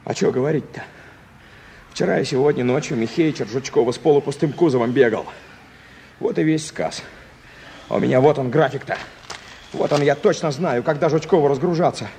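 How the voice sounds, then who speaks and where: Actor as defiant male truck driver for radio drama, stubborn, colloquial delivery, mid-pitched, light noise on the recording. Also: a little fast, vocal fry, pauses often, darkish tone, lightly acted. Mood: insistent. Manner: colloquial, stubborn, self-justifying. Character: cocky young male truck driver from town, defiant, matter-of-fact. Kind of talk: Soviet radio play acting.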